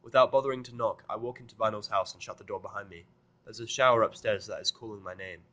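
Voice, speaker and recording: A man narrates a first-person story with a sardonic, expressive delivery. His voice sits fairly low and has a very forceful, bright edge, like an amateur audiobook reader putting feeling into it. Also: quick pace, highs cut off. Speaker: fan fiction reader and narrator.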